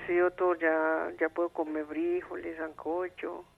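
A man's voice: on the high side, little pitch movement, unhurried, vocal fry. A man with a Colombian accent giving a casual testimonial as a listener over the telephone. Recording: tinny, narrow phone line.

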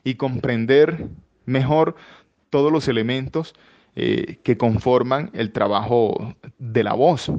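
Announcer, muffled and measured. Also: male voice, medium pitch. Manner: measured, hesitant with many fillers. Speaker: voice-over artist and announcer. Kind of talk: interview answer. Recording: dull lo-fi sound.

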